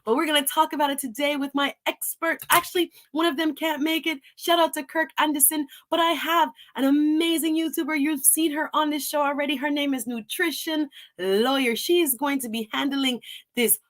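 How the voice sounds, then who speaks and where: A woman's voice: high-pitched, fairly even intonation, moderate pace, fairly bright tone. Upbeat woman hosting a talk show. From Jamaica. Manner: chatty, upbeat, laughs often. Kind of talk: live-stream talk show intro.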